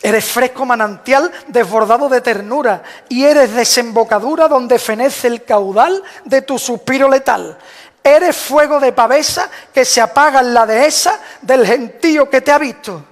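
A man's voice: very high-pitched, a little slow. A man solemnly reciting a rhymed devotional proclamation as a Holy Week proclaimer, in a declamatory manner. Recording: long hall reverb.

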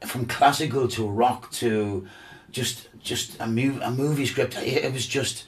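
A man's voice: mid-range voice, steady tone, a little slow, far from the mic. A man guest musician chatting casually, enthusiastic and rambling with repeated words. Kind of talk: casual chat.

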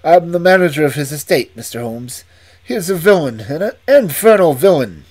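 Reader voicing a panicky, breathless man, raised and loud, pitch swinging, urgent. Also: sing-song. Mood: agitated. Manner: agitated, emphatic, rushed. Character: frightened, breathless male estate manager. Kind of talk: audiobook reading.

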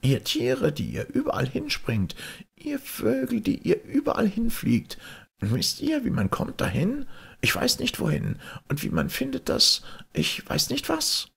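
A man's voice: very high voice, huge pitch swings, unhurried, vocal fry, fairly bright tone, husky, voice pushed up, heavily acted. A man voicing a commanding old sorceress in a storybook fairy tale. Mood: calling out. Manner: commanding, calling out to servants. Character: kindly old woman sorceress, mother-in-law with magic servants.

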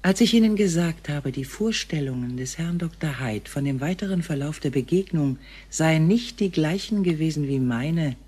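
An actress playing a composed, tactful woman from another world, speaking formally and carefully with a husky voice in a sci-fi radio drama. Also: low voice, expressive, normal speed, mellow. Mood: composed, grave. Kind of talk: science fiction radio drama acting.

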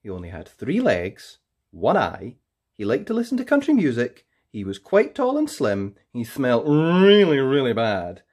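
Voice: medium pitch, wildly swooping pitch, moderate pace, darkish tone. A storyteller reading a children's picture book aloud in a playful, theatrical way, drawing out key words for emphasis. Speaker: storyteller, host of a read-aloud show.

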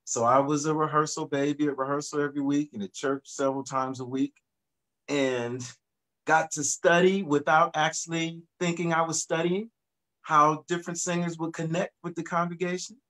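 A middle-aged man, a singer guest, talking in a relaxed, reflective way about his background on a video call from his home studio. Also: on the high side, moderate pace. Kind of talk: casual video-call interview.

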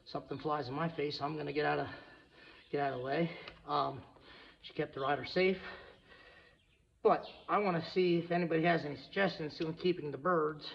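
Gravelly-voiced man chatting over noisy barn work. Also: a little high, steady tone, a little fast. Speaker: farrier vlogger. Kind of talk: casual running commentary while working. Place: indoors in a horse barn. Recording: muffled, noisy barn with tool clicks.

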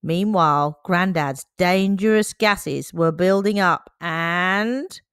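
A woman reading a children's picture book aloud, slow, clear and expressive. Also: lowish voice, no echo, studio quality.

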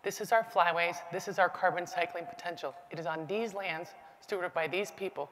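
A middle-aged American woman giving an emphatic, deliberate motivational keynote from a stage. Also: fairly low pitch, fairly bright tone. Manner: emphatic, deliberate short phrases with rhetorical repetition. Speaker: conference speaker, agriculture sector leader. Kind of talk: rehearsed motivational keynote speech. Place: large indoor expo stage. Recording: reverberant hall with clear background noise.

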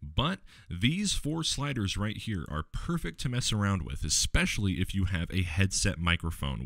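A man with a deep, low voice walking viewers through a software tutorial step by step, relaxed, with the odd filler. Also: dry recording, studio quality. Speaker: tech tutorial host. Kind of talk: screen-recorded software tutorial.

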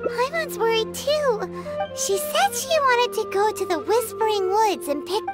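A tiny fairy companion from an anime game, very high and squeaky. She is excitable and impatient, swooping about in pitch and drawing out her words. Loud music plays behind her. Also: unhurried. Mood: impatient, worried. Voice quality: squeaky, childlike. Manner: exclaiming, talks of herself in third person. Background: loud children's-style game music.

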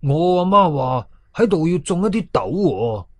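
A man's voice: a little high, sing-song, a little slow, dry recording. A man voicing a timid, easily frightened village uncle in a horror story, agitated, with a Hong Kong Cantonese accent. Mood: anxious, pleading. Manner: agitated, trembling, pleading.

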